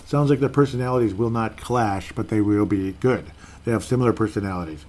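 A man's voice: fairly low pitch, a little fast, darkish tone. A man hosting a casual solo podcast, reading out listener comments in an easygoing, rambling way and correcting himself as he goes. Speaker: podcast host.

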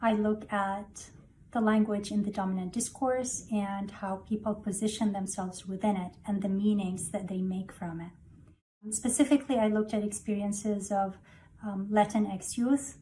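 A woman professor explains her research in a steady, precise way. Her voice is mellow and mid-pitched. A faint room noise sits behind her. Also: a little slow. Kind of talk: faculty research introduction. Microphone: video call webcam.

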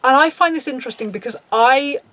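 Woman, 30s, low raspy voice; blunt, sweary talk to camera, dry sound. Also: brisk, a bit bright, no echo. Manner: frank, swears freely, reads out a list and reacts. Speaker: YouTuber. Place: small dim room, indoors.

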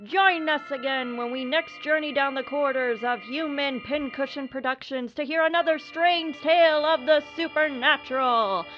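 A woman's voice: high-pitched, normal speed, hardly any pauses. A woman announcer reading a scripted outro for a horror anthology in the manner of old radio. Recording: dull, band-limited sound. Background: music.

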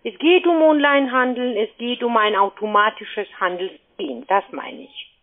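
Woman cold caller, kinda high voice, pushy sales talk, thin phone sound. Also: normal speed. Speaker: cold-calling telephone sales agent. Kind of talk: sales pitch on a phone call. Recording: tinny, narrow-band phone line.

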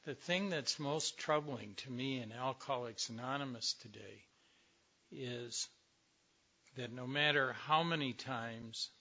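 An older man, a little hoarse, giving a slow, reflective talk to a recovery meeting with many pauses. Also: medium pitch, bright, forceful tone, dull audio. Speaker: speaker at a recovery workshop.

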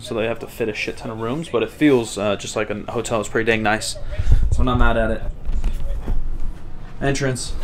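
A young man in his twenties casually vlogging to a handheld camera from a small cabin on a cruise ship, relaxed and slangy with lots of fillers. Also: medium pitch. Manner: laid-back, slangy, many fillers. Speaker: fitness vlogger. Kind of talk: casual vlog talk to camera. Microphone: handheld camera mic at arm's length.

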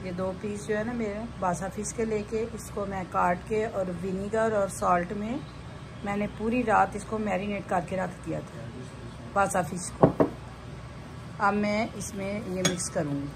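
A woman's voice: medium pitch, fairly even intonation, unhurried. A woman giving a casual step-by-step cooking demonstration in a home kitchen, often repeating her phrases as she shows each step. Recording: noisy home kitchen recording.

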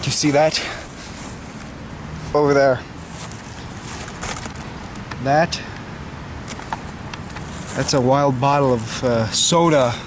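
A young adult man hosting a mock nature show to camera in a halting, deadpan, mock-reverent way. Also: mid-range voice. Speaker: host of a comedy cooking show. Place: outdoors in a suburban backyard. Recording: noisy outdoor camera audio, background hiss.

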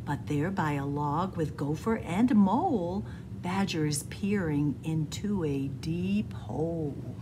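A woman reading a picture book aloud in an animated, deliberate, sing-song storytelling style. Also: low voice, noisy background. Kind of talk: expressive children's read-aloud storytelling. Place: indoors, room with bookshelves.

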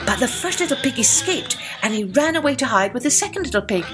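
A woman narrating a scripted children's tale as a storyteller, with expressive, deliberate phrasing. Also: a little high, music in the background. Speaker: storyteller and podcast host.

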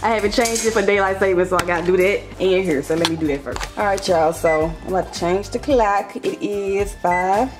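A woman's voice: medium pitch, fairly quick, hardly any pauses. A young American woman chatting casually and directly to her viewers in an informal, slangy way, as a vlogger. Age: young adult. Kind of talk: casual chat to camera. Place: indoors, mostly a home kitchen. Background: music.

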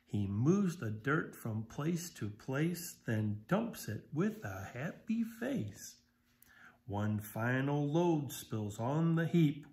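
A man's voice: medium pitch, big pitch swings, slow pace, darkish tone. A middle-aged American man reading a picture book aloud, expressively, with simple explanations for young children. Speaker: volunteer story reader. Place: small living room, indoors on a sofa.

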